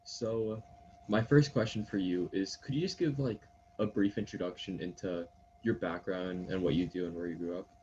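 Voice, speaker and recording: A man's voice: deep voice, normal speed, a little mellow, muffled recording, a little hiss or hum. A man hosting an interview and asking casual questions.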